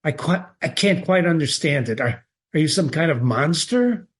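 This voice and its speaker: Cold stern woman as read by a man, clipped words, slightly high voice. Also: lively intonation, normal speed, lightly acted.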